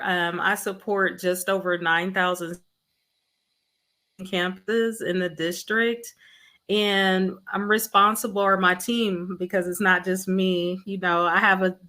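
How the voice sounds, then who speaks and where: Bright-voiced woman from Mississippi, an education administrator, as an earnest interview guest speaking over a video call. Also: medium pitch. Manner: warm, earnest storytelling with fillers. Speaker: school district chief academic officer.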